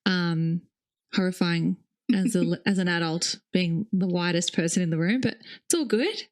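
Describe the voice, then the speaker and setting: A woman's voice: lowish voice, normal speed. A woman co-hosting a podcast, chatting casually and laughing often. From Australia. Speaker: podcast co-host. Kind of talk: casual chat.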